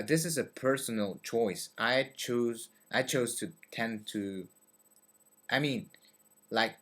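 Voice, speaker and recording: A man's voice: mid-range voice, a bit bright. A young man from Belgium, talking to his webcam in a dim bedroom, hesitant with many fillers. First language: French. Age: young adult. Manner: hesitant, many fillers and restarts, gestures with hands. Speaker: vlogger. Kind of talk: casual talk to camera about learning languages. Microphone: webcam at desk.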